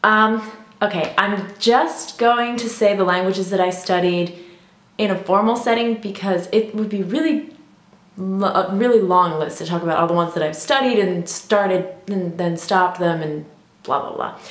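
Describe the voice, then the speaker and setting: A young woman talks casually to her viewers at a mid pitch, chatty and loose, with frequent ums and you-knows. Her voice bounces around an echoey room. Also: a little slow. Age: young adult. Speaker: language vlogger. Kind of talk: casual vlog talk.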